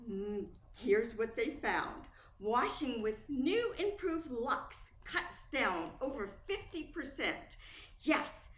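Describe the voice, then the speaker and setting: A woman playing a persuasive 1940s radio commercial announcer, emphatic and salesy. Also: high voice. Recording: distant, echoey, faint noise.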